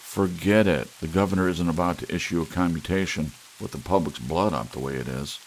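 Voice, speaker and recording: A man's voice: sits low, fairly quick, natural voice, low-quality recording. A man voicing an irritable, world-weary husband, blunt and exasperated, in a short story reading. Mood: resigned, bitter. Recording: steady hiss, rain sound underneath.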